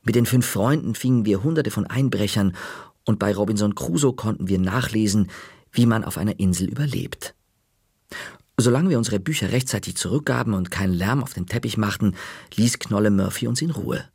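A man reading a children's book aloud in the first person, with lively storytelling. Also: on the low side, brisk, gravelly, slightly rough, dry recording, clean studio sound. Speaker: audiobook narrator.

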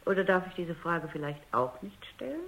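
An actress playing a probing, authoritative robot psychologist in a German radio drama, with a formal and pointed manner. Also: female voice, fairly low pitch, sing-song, a little fast, fairly bright tone. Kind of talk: German radio drama acting with first-person narration. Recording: dull, band-limited radio recording.

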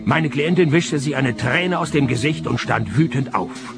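Man playing a gravelly deadpan Swiss private detective. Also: mid-range voice, moderate pace, over music, natural voice. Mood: dry, matter-of-fact. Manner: deadpan, sardonic. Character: dry, stubborn male private detective. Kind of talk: radio play acting and first-person narration.

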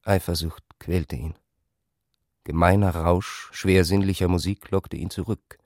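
A man's voice: sits low, steady tone, a little slow, a little mellow, no echo, clean studio sound. A man reading scripted literary narration for a radio production.

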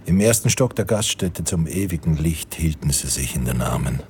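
Super deep solemn guy narrating radio drama, measured, sober, bit of background ambience. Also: lively intonation, darkish tone, a little hiss or hum. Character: sober, omniscient male storyteller of a war romance. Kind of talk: scripted radio play narration.

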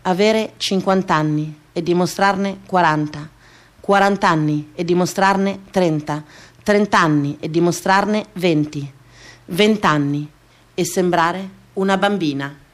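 Woman performing an incantatory dramatic monologue. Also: fairly low pitch. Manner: deliberate, incantatory repetition of phrases. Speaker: actress performing her own theatrical monologue. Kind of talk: dramatic scripted monologue for radio theatre.

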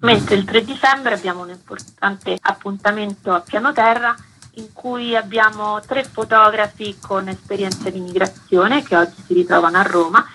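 A woman's voice: medium pitch, fairly even intonation, moderate pace, pushed and bright, slight background noise, poor audio. A woman explaining a project as an interview guest, speaking in long sentences.

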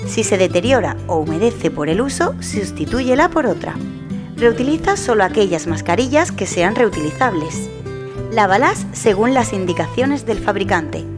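A woman reading a scripted public service announcement in a measured, instructive way. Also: medium pitch.

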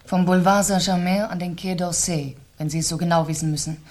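An actress plays an elegant, scheming widow in a 1970s radio crime play. Her voice sits slightly low. She is cool and guarded, giving precise, clipped answers. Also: fairly even intonation, fast talker, a little mellow, lightly acted. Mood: guarded, irritated. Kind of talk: 1970s German radio crime drama acting.

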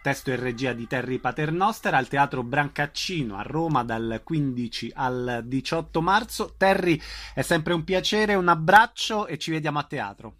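A man's voice: on the high side, animated, moderate pace, few pauses, a bit bright. Man hosting an informal interview. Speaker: radio interviewer.

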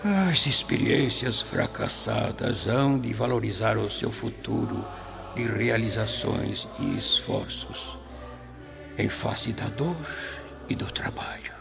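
Gravelly old brazilian grandpa ghost, solemn radio play voice, swooping slowly over music. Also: male voice, lowish voice, sing-song, exaggerated voice. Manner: solemn, preaching consolation in measured phrases. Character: luminous spirit of an old grandfather, tender and solemn. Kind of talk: Brazilian radio drama acting. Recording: dull lo-fi audio under music.

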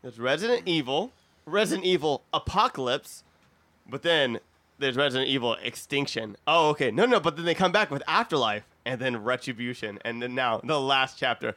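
A man's voice: mid-range voice, expressive, moderate pace, bright, forceful tone. A man hosting a casual comedy podcast, talking in a jokey, profane way. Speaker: podcast host.

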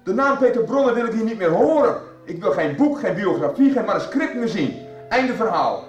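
A stern schoolmaster in a radio soap, authoritarian and clipped. The voice sits high and swings widely in pitch, recorded in a roomy space from some distance. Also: male voice, big pitch swings, a little fast, soft background music. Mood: exasperated, forceful. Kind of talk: 1990s radio soap acting.

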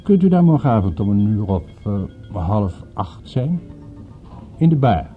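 A middle-aged businessman in a radio play, formal and calculating. His voice is on the low side with lively rises and falls, heard through a thin, phone-like old recording. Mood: courteous. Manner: deliberate, formal, polite. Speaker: radio drama actor. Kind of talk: Dutch radio play dialogue. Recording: tinny narrow-band old radio, faint music.

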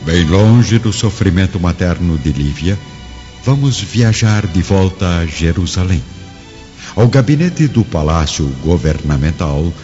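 A man narrating a radio drama in a solemn, measured, scripted style. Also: low-pitched, darkish tone. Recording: muffled, long reverb, music behind.

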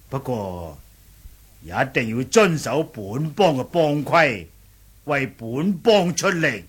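Wuxia sect leader, pompous yet dithering, drawn-out husky delivery, lively pitch, radio play. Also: male voice, heavily acted. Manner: hemming, drawn-out, indecisive yet lordly. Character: hesitant, pompous male chief of martial sect. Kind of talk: Cantonese martial-arts radio drama acting.

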